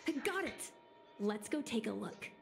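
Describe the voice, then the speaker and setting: A woman's voice: mid-range voice, big pitch swings, normal speed, creaky. An actress playing an eager, pleading young girl in a video game who is curious about secrets. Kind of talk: game voice acting. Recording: game music behind.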